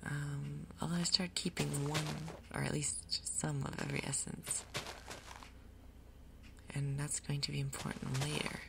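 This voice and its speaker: A woman doing soft-spoken ASMR game commentary in a breathy half-whisper, with a casual, rambling, unhurried manner. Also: low-pitched, steady tone, background noise. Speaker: gaming video host.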